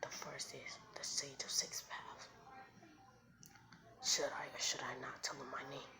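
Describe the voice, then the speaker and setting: A woman's voice: deep voice, slow. Woman doing a whispering, menacing demon fox. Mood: secretive. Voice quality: breathy whisper. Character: huge menacing male demon fox, grudgingly respectful. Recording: faint background noise.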